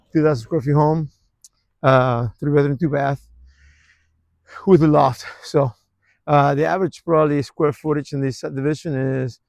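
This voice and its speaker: A man, giving a relaxed, rambling walking tour of his old neighborhood outdoors, with lots of fillers and restarts. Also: fairly high pitch, vocal fry, no room sound. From Argentina. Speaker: real estate vlogger.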